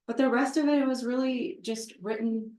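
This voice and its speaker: A woman translator answering questions at a live book talk, thoughtful and halting, with many fillers and restarts. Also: a little high, steady tone, darkish tone. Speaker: literary translator, interview guest. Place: event room at a literary center. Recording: roomy echo, voice from far off the mic.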